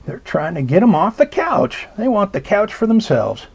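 A man reads a children's picture book aloud, taking his time and stopping to comment on the pictures. His voice has a gravelly, husky edge. It swoops up and down with lots of expression. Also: high-pitched, very animated, normal speed. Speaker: storybook reader. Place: indoors at a table.

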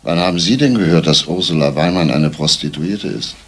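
An actor playing a persistent, composed homicide detective in a German crime radio play, matter-of-fact and clipped. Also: male voice, extremely low pitch, fairly quick, breathy edge, exaggerated voice. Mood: probing, composed.

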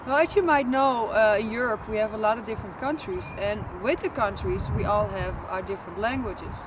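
A woman in her thirties with a Dutch accent, English not her first language, talking casually to camera outdoors, often pausing and restarting. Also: mid-range voice, noisy background. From the Netherlands. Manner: chatty, halting, restarts often. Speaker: massage teacher. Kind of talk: casual talk to camera and massage demonstration. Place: outdoors in front of a building.

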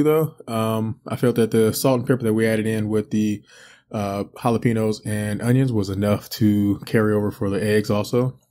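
Easygoing man narrating a home cooking video. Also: on the low side. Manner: relaxed, rambling with many fillers. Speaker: cooking channel host. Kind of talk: casual cooking voice-over. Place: home kitchen.

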